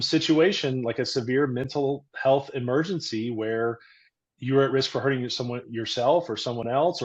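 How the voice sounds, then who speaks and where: A man working as a therapist, a guest on a casual podcast chat, talking earnestly in a loud, booming voice and explaining things through everyday comparisons. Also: highs cut off.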